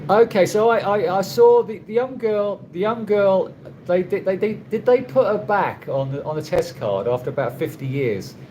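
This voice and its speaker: A man rambles through viewers' questions on a live stream, his voice sitting slightly high for a man. He trips over his own phrases and restarts often, with a dry, wry humor. A faint hum of background noise runs under the recording. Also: lively intonation, mellow, low-quality recording. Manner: rambling, halting, with many restarts and fillers. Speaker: vlogger answering live chat questions. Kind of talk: casual live-stream chat. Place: condo room.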